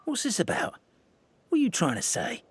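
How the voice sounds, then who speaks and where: A man's voice: high voice, wildly swooping pitch, normal speed, slight background noise. A man voicing a cheeky, theatrical minion in a video game, given to stammering and mock-storybook narration. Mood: suspicious. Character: cornered, cheeky, theatrical male minion narrating his own escape. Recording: echoey hall reverb, music behind.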